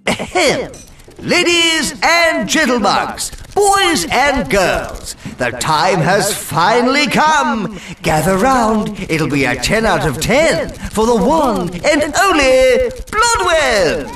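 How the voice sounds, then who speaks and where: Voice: mid-range voice, big pitch swings, slow. An actor voicing a friendly, chatty cartoon bug who hosts a children's show, exclaiming, making puns and breaking into song. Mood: upbeat. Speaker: show host. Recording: loud music behind the voice.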